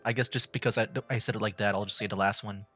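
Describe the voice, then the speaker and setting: A man's voice: lowish voice, fairly even intonation, fast talker, pushed and bright, faint music behind. A man streaming a game, making laid-back, joking comments and reading lines aloud. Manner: laid-back, chuckling asides. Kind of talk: casual let's-play commentary.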